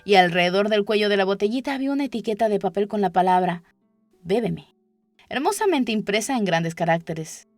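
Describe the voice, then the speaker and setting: A woman narrates a children's story in audiobook style. Her voice sits at a medium pitch for a woman. Music plays clearly behind the reading. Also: expressive, quick pace, hardly any pauses, no echo. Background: background music.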